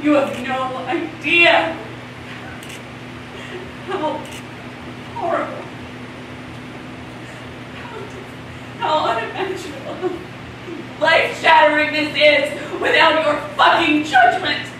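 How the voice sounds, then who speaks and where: A woman's voice: sits high, takes it slowly, long pauses. A woman acting an indignant woman in crisis in a stage play, projecting her monologue with emphatic, impassioned delivery. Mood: anguished pleading. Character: indignant, anguished women in crisis over lost rights. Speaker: stage actor. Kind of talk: theatrical monologue in a one-act play. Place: indoor stage before a seated audience. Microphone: distant camera. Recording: echoey, noisy hall from far off.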